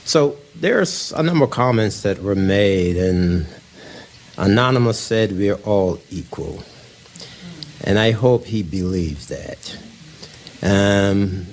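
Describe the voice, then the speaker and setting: A man on a town council answering public comment in a measured, formal way. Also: lowish voice, a little mellow, slight background noise. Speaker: council member. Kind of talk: council rebuttal. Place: council meeting chamber.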